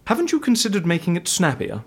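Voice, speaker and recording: Eccentric, theatrical male interviewer, higher-pitched and hugely animated, playful and curious, for audio comedy drama. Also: quick pace, creaky, slightly rough, heavily acted. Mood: puzzled, intrigued.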